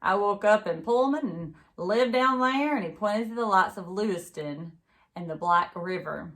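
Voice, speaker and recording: A woman's voice: medium pitch, expressive, normal speed, fairly bright tone. Woman reading as a folksy, talkative local man. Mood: folksy, grim. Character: talkative male local driver, folksy and grimly matter-of-fact.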